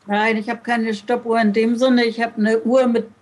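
A woman playing a question game on a podcast, asking quick, brisk questions in a casual way. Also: fairly high pitch, flat delivery, darkish tone, a little hiss or hum. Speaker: podcast guest player.

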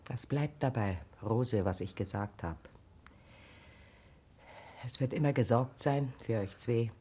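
An actress playing a grave, caring older village woman in a German radio play, husky, sorrowful and gently reproachful. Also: rumbling low, slow, slight background noise. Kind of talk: German radio play, solemn naturalistic acting.

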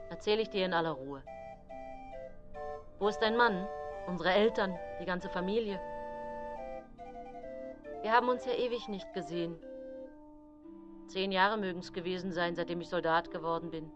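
A woman's voice: on the low side, normal speed, pauses often, close to an everyday voice. A woman playing a hearty soldier back from war in a radio drama, breezy and matter-of-fact. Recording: dull sound with music behind.

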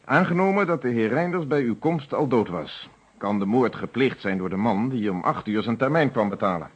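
A man's voice: mid-range voice, expressive, moderate pace, breathy edge, dull audio. An actor playing a stern, methodical police inspector in a radio play, speaking formally and insistently as he interrogates. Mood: methodical, pointed.

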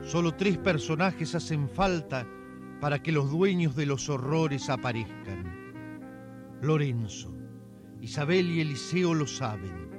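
Slow, theatrical Argentine male narrator. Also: medium pitch. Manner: theatrical, measured. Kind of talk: dramatic radio serial narration. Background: music.

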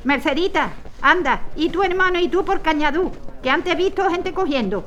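A woman's voice: extremely high pitch, animated, moderate pace, fairly bright tone, muffled recording, slight background noise. An actress playing a strict, protective peasant mother fleeing war in an audio drama, speaking colloquially. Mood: scolding. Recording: outdoor crowd and vehicle ambience.

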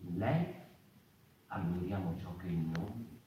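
An actor playing a wryly musing narrator of a mouse people in a questioning, hesitant theatrical monologue. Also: male voice, low-pitched, takes it slowly, warm tone. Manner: rhetorical, hesitant repetitions, tag questions. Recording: echoey hall from far off, noisy.

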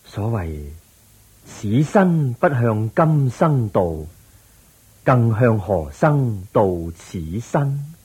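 An actor playing a serene, wise Buddha in a Cantonese radio drama, teaching patiently and solemnly with a Hong Kong accent in drawn-out phrases. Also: male voice, mid-range voice, sing-song, darkish tone, breathy edge, exaggerated voice. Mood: grave, gentle.

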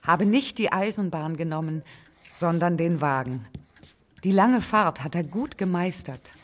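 An actress plays a resolute wife and mother reading her letters in an audio documentary. Her voice is on the low side. She is composed, plain and matter-of-fact. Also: expressive, a little slow.

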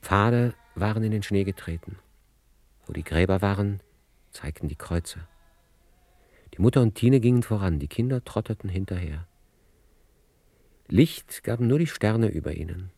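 A man narrating a literary radio play in a measured, sober storytelling manner. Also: lowish voice, animated, creaky, warm tone, dry recording.

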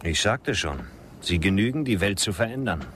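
An actor in a German radio drama playing a worldly, detached gentleman who lectures politely. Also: low voice, lively intonation, moderate pace, a little hiss or hum. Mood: coolly resigned. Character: worldly, matter-of-fact gentleman champion of progress.

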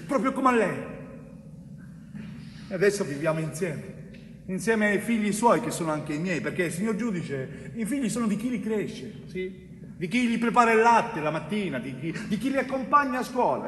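Tormented sicilian bricklayer on stage, high voice that swings all over, halting, repeats himself. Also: male voice. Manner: halting, repetitive, emphatic, with dramatic pauses. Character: wrongly convicted Sicilian bricklayer, tormented, tender, pleading with a judge. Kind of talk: theatrical stage monologue. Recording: echoey hall, distant mic, faint noise.

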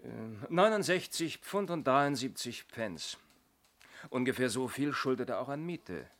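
An actor in a German radio play playing a gruff, matter-of-fact police detective who muses bluntly on a case. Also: male voice, medium pitch, animated, measured pace, fairly bright tone.

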